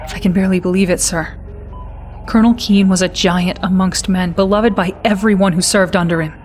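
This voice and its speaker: Casting: actress as patriotic female army intel officer for game cutscenes, slightly low register, formal, earnest briefing delivery. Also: fairly even intonation, fairly quick, darkish tone, lightly acted. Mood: solemn. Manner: formal, addresses listener as sir.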